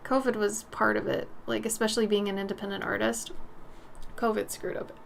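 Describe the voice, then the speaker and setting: A woman's voice: mid-range voice, level tone, brisk, vocal fry, a little hiss or hum. A woman in her thirties livestreaming while she paints, chatting loosely and frankly with her viewers as she answers their messages. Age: 30s. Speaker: streamer.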